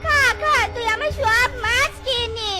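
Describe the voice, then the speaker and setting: A woman voicing an excitable, put-upon young jackal daughter-in-law in a cartoon, squeaky, whiny and dramatic. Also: measured pace, over music. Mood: terrified. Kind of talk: cartoon voice acting.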